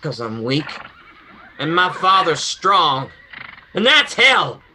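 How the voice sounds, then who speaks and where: A man as a sharp-voiced, bitter young man. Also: fairly high pitch, sing-song, takes it slowly, a little hiss or hum, heavily acted. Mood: bitter anguish. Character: bitter, anguished, self-loathing young son. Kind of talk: old-time radio drama acting.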